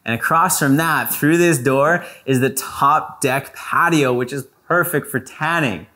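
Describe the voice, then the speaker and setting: A man's voice: a little high, unhurried, sharp tone. A young man giving a casual, enthusiastic guided tour of a house in an informal, playful manner. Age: young adult. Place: indoors, rooms of a large house. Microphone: lapel mic.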